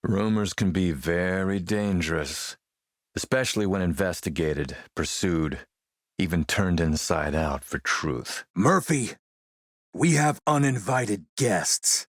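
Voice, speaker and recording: A man playing a shrewd, menacing militia boss and smooth-talking dealmaker in a video game, gravelly, measured, self-assured and wry. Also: low voice, animated, dry recording, clean studio sound, full character voice. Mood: quietly menacing, then alert. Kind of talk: video game character dialogue.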